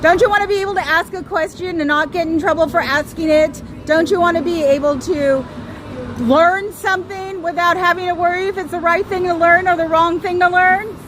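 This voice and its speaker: A woman with a high, piercing voice chats through a casual livestream, rambling from thought to thought and needling her listeners with sarcastic questions. She is recorded outdoors by a street, with loud traffic and passing cars heard behind her. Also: steady tone, brisk. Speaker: livestream host.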